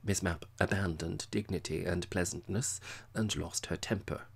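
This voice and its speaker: Male audiobook narrator, lowish voice, wry and measured literary storytelling. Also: fairly even intonation, vocal fry, breathy edge.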